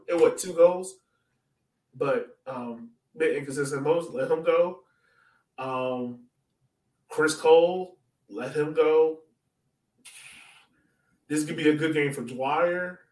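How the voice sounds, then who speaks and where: Echoey, higher-voiced man chatting casually. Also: takes it slowly, pauses often. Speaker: sports podcast co-host. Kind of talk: casual soccer chat. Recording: roomy echo, distant from mic.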